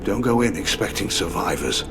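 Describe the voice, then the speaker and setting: Gruff low-voiced alien admiral. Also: male voice, animated, moderate pace, music in the background. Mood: grim, blunt. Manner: blunt, plain-spoken, sardonic. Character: gruff, hawkish alien admiral, middle-aged military veteran. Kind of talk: video game dialogue acting.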